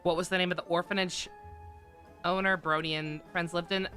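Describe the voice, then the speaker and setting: A man's voice: high voice, flat delivery, fairly quick, sharp tone. A man streaming a video game with casual, rambling commentary, often hesitating and trailing off mid-thought. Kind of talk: casual livestream commentary over gameplay. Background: game music.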